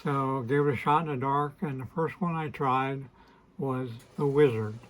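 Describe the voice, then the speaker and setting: A man in his sixties with a hoarse, weakened voice, hosting a casual to-camera vlog and talking in a hesitant, apologetic way with many fillers and restarts. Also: medium pitch, normal speed, low-quality recording. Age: 60s. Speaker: host of a beard channel.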